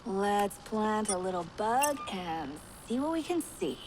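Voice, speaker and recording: A woman voicing an upbeat, geeky tech specialist in a video game, speaking casually and excitably. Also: mid-range voice, measured pace. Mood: casual, focused. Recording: noisy background ambience.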